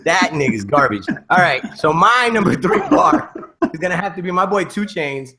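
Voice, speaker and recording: A man hosting a casual podcast with friends, bantering excitably and emphatically in profane slang. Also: high voice, moderate pace, a loud crowd. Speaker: podcast host.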